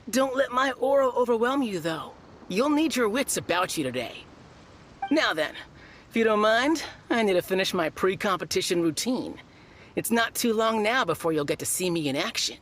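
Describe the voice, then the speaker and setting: A woman voicing a pompous, self-assured high achiever entering a martial arts contest in a video game. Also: lively intonation, normal speed. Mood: smug. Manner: pompous, boastful.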